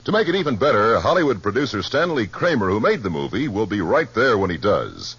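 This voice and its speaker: Animated American male radio announcer, husky. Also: on the low side, fairly quick. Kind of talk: 1950s scripted radio promo announcing. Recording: muffled vintage radio broadcast.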